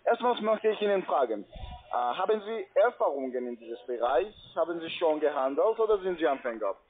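Male non-native sales manager, formal scripted pitch, higher register, telephone-band audio. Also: sing-song, brisk. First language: not German. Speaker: call centre sales manager. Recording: narrow phone line.

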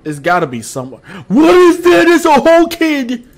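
A man's voice: very high-pitched, huge pitch swings, normal speed. A young man streaming a horror game live, talking nervously to his chat with sudden yelps and laughs. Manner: jumpy, nervous, bursts of loud yelps and laughter. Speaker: gaming streamer. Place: small room with posters behind.

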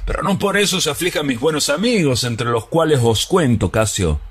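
A man playing Brutus, a troubled noble Roman, speaking in a grave, brooding, measured theatrical recitation. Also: fairly high pitch, animated, husky, voice pushed up. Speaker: actor performing a stage monologue. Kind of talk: theatrical radio-drama recitation.

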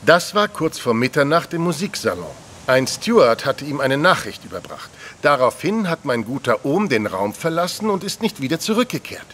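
An actor playing a wordy, pompous young gentleman in a German audio drama. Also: fairly high pitch, big pitch swings, normal speed, hardly any pauses, a little hiss or hum. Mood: earnest. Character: dandyish young gentleman, flowery and self-important.

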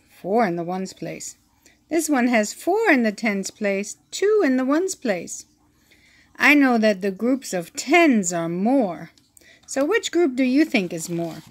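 A woman reading a children's math picture book aloud, counting slowly and asking the listener questions, recorded on a phone held over the book. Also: a little high. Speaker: read-aloud teacher.

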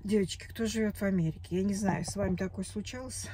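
A woman's voice: mid-range voice, steady tone, fast, mellow, a little hiss or hum. A woman in her forties, upset and tearful, venting about a bad day in a vlog recorded in her parked car. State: tearful after crying. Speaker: vlogger. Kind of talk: emotional confessional vlog. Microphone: phone camera at arm's length.

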